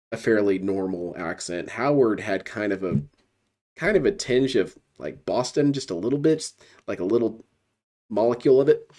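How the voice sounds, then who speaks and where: Man, deep-leaning voice, streamer, casual commentary, sardonic heckler. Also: darkish tone. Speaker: streamer reacting to another stream.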